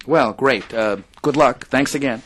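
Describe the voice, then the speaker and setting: An actor playing an affable, matter-of-fact radio interviewer in an audio drama. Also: male voice, medium pitch, unhurried, a bit bright.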